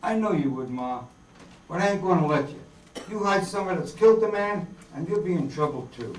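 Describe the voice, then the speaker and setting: A man's voice: on the high side, lively intonation, a little fast, natural voice. A man playing an earnest, idealistic young farm-born outlaw in folksy rural dialect, in a staged radio drama reading. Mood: regretful. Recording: roomy hall, distant mic, faint noise.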